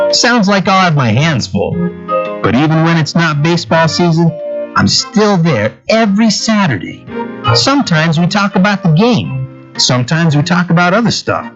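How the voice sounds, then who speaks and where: A man's voice: on the high side, muffled recording. A man narrating scripted stories in an earnest, conversational way.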